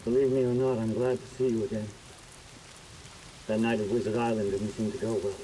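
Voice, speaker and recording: An actor playing a stern, defensive military officer in a video game, speaking in a clipped, forceful way. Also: male voice, lowish voice, flat delivery, brisk, slight background noise, close to an everyday voice, low-quality recording. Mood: earnest, grave. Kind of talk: dramatic video game acting.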